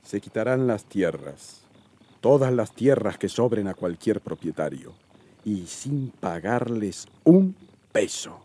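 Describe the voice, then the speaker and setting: Deep, slow, commanding general. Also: male voice, big pitch swings, dark tone, exaggerated voice. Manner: commanding, deliberate, emphatic. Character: resolute revolutionary general dictating decrees to scribes. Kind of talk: radio drama acting.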